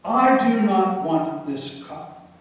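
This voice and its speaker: Man doing solemn gentle Jesus, slow, very echoey, distant mic. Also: sits high, lively intonation. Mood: pleading. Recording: echoey hall from far off.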